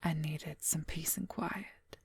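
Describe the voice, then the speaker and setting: A woman's voice: deep voice, steady tone, slow, no echo, full character voice. A woman playing a possessive, wry female werewolf lover in an intimate, confiding whispered ASMR roleplay, close to the microphone. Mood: reflective. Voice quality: breathy, husky whisper. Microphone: close microphone, dry.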